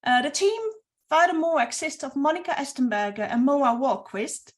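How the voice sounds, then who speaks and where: A woman with a Norwegian accent, Norwegian being her first language, presenting a webinar as project coordinator in earnest, slightly halting English. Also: high-pitched, lively intonation.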